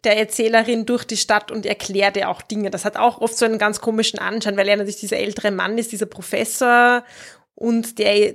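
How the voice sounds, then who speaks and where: Woman, book podcast host, medium-pitched voice, conversational, reflective, informal explanations. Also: gravelly.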